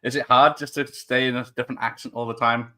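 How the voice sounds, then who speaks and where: A man hosting a chat with a bright, sharp tone, casual and jokey. He reads out viewer greetings, laughs along with his guest and asks loose questions. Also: medium pitch, level tone, fast talker. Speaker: interview host.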